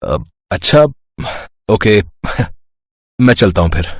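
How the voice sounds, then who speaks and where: A man playing a polite, well-mannered rich young man in a cartoon story, friendly and a little hesitant, with a husky voice. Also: lowish voice, expressive, slow, dry recording. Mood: bashful. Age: young adult.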